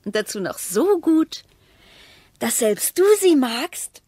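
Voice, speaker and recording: An actress playing a sceptical, nagging wife in a loveless marriage in a German radio play, speaking precisely and argumentatively. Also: female voice, high voice, sing-song, slow, a little mellow, breathy edge, no echo, clean studio sound, exaggerated voice.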